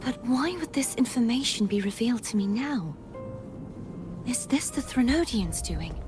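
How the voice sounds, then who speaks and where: An actress playing a solemn young maiden troubled by lost memories in a video game, speaking in a measured, pensive way. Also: female voice, a little high, expressive, natural voice. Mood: solemn, searching. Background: loud game music.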